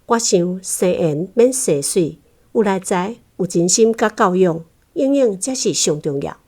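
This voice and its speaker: A woman's voice: medium pitch, expressive, a little slow, warm tone. A woman with a Taiwanese accent reading out a prepared personal reflection on a radio program. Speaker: guest reading her book reflections. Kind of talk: prepared spoken essay, recited slowly.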